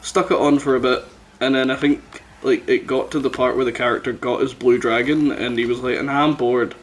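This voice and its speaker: A man's voice: medium pitch, flat delivery, fairly quick. A man chatting casually as a podcast host on a headset, telling jokey, sweary anecdotes. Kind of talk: casual chat. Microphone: headset microphone.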